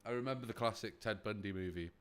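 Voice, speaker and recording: Male streamer, low boomy voice, rambling and sweary, close-mic. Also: fast talker, a bit bright. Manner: rambling, profane, laughs often. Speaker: livestreamer reacting to videos. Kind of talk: casual live-stream chat. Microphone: desk mic close to face.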